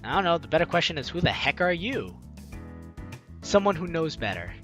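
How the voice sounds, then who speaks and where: A man's voice: a little high, very animated, fairly quick, muffled recording. A man reading a visual novel aloud as a let's play host, expressively acting out reactions and adding playful asides. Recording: music under the voice. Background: game music.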